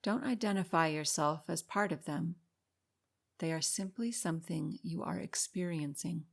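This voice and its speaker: A woman with a slightly low voice narrates a guided meditation. She speaks calmly and soothingly, giving unhurried instructions with room left between phrases. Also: gravelly. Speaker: meditation guide and podcast host.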